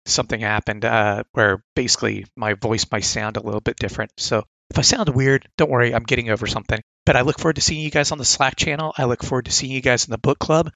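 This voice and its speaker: A man's voice: mid-range voice, steady tone, fast talker, vocal fry, few pauses, bright, forceful tone, no room sound, rough recording. A man with a sore throat casually reviewing comic catalog picks, reading blurbs aloud with enthusiastic asides.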